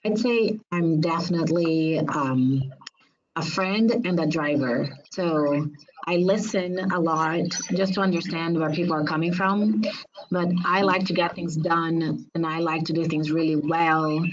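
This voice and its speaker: Brazilian lady on a zoom panel, lowish voice, kinda muffled audio, direct and earnest, lots of ums. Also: steady tone, a little slow, a little hiss or hum. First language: Portuguese. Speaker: webinar panelist. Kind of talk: webinar panel answer. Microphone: video call. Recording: lo-fi video call audio.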